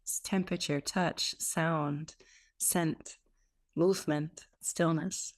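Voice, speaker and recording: A woman leading a guided meditation as a teacher, speaking in an unhurried way with gentle pauses between phrases. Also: deep voice, fairly even intonation. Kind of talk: guided meditation, softly instructive.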